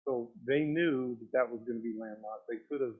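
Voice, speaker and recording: A man with a gravelly voice questioning staff as a planning commissioner at a public meeting. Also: medium pitch, a little fast. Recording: dull lo-fi meeting audio.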